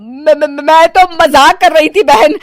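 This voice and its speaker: A woman playing a domineering, scheming stepmother-in-law in a melodramatic story video, with a shrill, haughty delivery. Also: measured pace, no room sound, clean studio sound. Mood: frightened. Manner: shrill, haughty, stammers when frightened.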